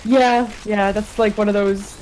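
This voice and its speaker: A woman's voice: medium pitch, steady tone, unhurried, a little mellow, slight background noise, poor audio. A woman hosting a game stream, chatting casually with friends and laughing often. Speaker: streamer host. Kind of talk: casual gaming chat. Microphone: push-to-talk voice chat.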